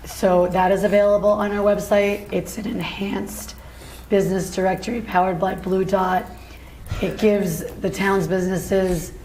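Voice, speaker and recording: A woman's voice: medium pitch, a little mellow, low-quality recording. A woman serving as interim town manager gives brief announcements at a council meeting. Her mid-range voice is worn and fading as she loses it, and faint room noise sits underneath. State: losing her voice.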